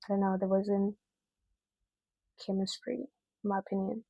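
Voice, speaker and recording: A woman giving a casual, chatty video review in an expressive, slangy way with emphatic repetition. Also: medium pitch, moderate pace, gravelly, husky, no echo, muffled recording.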